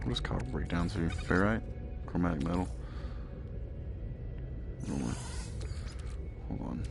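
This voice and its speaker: A man's voice: low voice, expressive, takes it slowly, gravelly, over music. A man giving casual live commentary on a game, laid-back and mostly talking to himself, muttering along as he goes. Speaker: gaming streamer.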